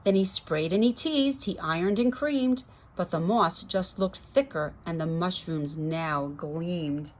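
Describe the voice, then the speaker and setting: A woman's voice: on the low side, unhurried, few pauses. A woman reading a rhyming children's story aloud expressively, with chatty asides to young listeners. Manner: rhythmic, dramatic, addressing children. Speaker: guest storybook reader.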